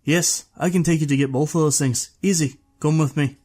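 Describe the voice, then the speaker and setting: A man's voice: fairly high pitch, steady tone, brisk, a little mellow, voice pushed up, lightly acted. A man voicing a tall, obliging young stablehand in an audiobook story, with a plain, helpful manner. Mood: friendly, helpful.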